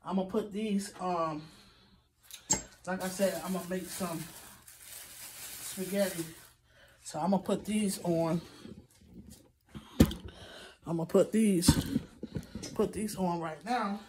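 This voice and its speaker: A woman's voice: lowish voice, steady tone, slow pace, long pauses. A middle-aged woman chatting casually to her viewers in a home kitchen, with lots of fillers and "okay, guys" asides. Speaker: vlogger. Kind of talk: casual grocery haul vlog. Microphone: phone camera on counter, a few feet off. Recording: distant phone mic with background noise.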